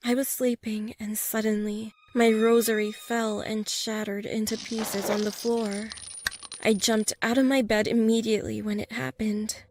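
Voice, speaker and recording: A woman reading a scripted first-person scary story as a narrator. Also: on the high side. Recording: loud music bed behind voice. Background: music.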